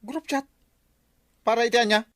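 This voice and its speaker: A woman's voice: on the high side, normal speed, a bit bright, no echo, clean studio sound, natural voice. A woman playing a grumpy, mocking village husband in an Ilocano comedy drama, with a Filipino Ilocano accent and scolding delivery. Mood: annoyed.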